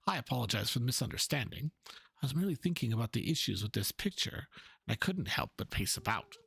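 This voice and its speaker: A man's voice: mid-range voice, big pitch swings, quick pace, vocal fry, a bit bright, slightly rough, full character voice. A man voicing an earnest, fretful painter from a video game, speaking politely in formal, wordy lines. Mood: fretful, brooding. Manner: formal, ponderous. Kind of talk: dramatic reading of game dialogue.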